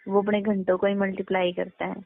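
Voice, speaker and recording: A woman's voice: medium pitch, level tone, fast, creaky. Casting: young woman, casual phone conversation, tinny line with faint noise. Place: recorded phone call.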